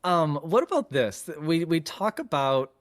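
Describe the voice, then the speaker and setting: A man's voice: fairly high pitch, very animated, unhurried, dry recording, studio quality. A man co-hosting a podcast, asking questions in a casual, conversational and affirming way. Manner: inquisitive, affirming listener. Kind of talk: casual conversational interview chat.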